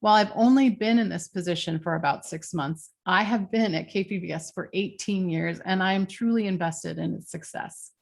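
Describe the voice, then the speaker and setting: A woman in her forties from San Diego, giving a steady, earnest prepared talk to supporters over a video call from her home. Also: lowish voice, moderate pace, vocal fry, few pauses. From San Diego, California. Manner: earnest, steady, lightly scripted with small fillers. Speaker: interim general manager of a public media station. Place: home room with window, construction outside.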